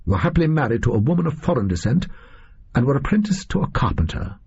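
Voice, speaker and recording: A male reader voicing a haughty, analytical Victorian gentleman detective in an audio story, speaking formally and precisely. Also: mid-range voice, big pitch swings, quick pace, creaky, breathy edge, highs cut off, pitched above the performer's own voice, heavily acted. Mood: coolly challenging.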